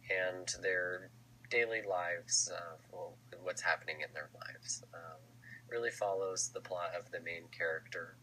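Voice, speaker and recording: Young male student, deep voice, bright nasal tone from a cold, hesitant presenter, faint hum behind. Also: rough recording. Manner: hesitant, many ums and restarts. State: stuffy nose from a cold. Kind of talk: class research presentation.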